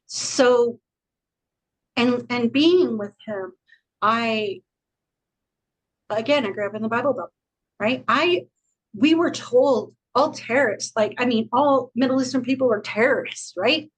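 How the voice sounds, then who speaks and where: A woman's voice: fairly high pitch, measured pace, pauses often, breathy edge, poor audio. A woman co-hosting a podcast, talking frankly and earnestly in casual chat and laughing easily. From United States. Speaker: podcast co-host.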